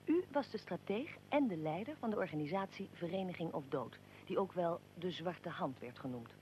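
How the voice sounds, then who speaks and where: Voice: medium pitch, expressive, moderate pace, darkish tone, slight background noise, natural voice. A performer playing a probing, well-prepared interviewer and narrator in a historical radio drama, formal and articulate. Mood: formal, narrating.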